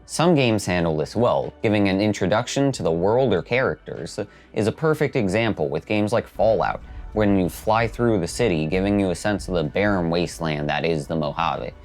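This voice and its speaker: A man's voice: sits low, animated, normal speed, few pauses, darkish tone. A man hosting a video essay, reading scripted narration. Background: background music.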